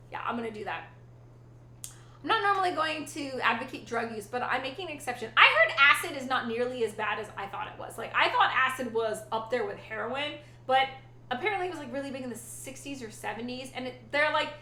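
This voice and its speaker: A woman's voice: sits high, bright, forceful tone, roomy sound, slight background noise. A woman streamer chatting casually with her viewers, rambling and swearing freely. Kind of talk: casual chat with live chat.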